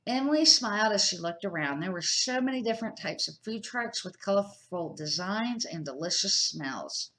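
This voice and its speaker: A woman's voice: medium pitch, lively intonation, moderate pace, hardly any pauses, a bit bright. An older woman reading a children's book aloud in an unpolished way, with small slips and restarts. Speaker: storybook reader.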